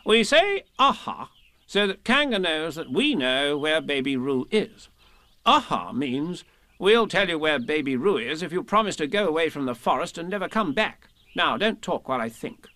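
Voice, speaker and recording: A man voicing a bossy, fussy male rabbit who plans everything, in a high, brisk, officious voice. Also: sing-song, sharp tone, no echo.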